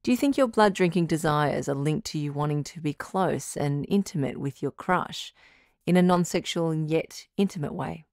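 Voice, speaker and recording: A woman playing a calm, reassuring doctor who hosts a radio relationship advice show, speaking in a measured, counselling way. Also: sits low, no echo, studio quality. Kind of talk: audio drama radio call-in acting.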